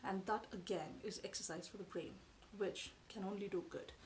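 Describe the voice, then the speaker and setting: A woman in her thirties whose first language is Tamil, talking to camera from a sofa in her living room in a measured, thoughtful way with frequent pauses. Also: mid-range voice, darkish tone, a little hiss or hum. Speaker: vlogger. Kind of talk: explanatory talk to camera. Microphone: camera in front at close range.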